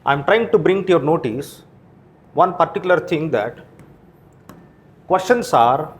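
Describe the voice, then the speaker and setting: A man's voice: on the high side, animated, unhurried. A man with an Indian accent lecturing to exam students as a coaching teacher, posing questions and answering them himself. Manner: frequent tag questions like "right?" and "okay?".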